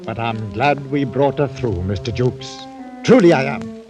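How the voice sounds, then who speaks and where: An actor playing a stolid, plain-spoken older sea captain, halting and understated, in a vintage radio drama. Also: male voice, medium pitch, natural voice. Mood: quietly moved. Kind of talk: old-time radio drama acting. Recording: 1940s radio recording with music.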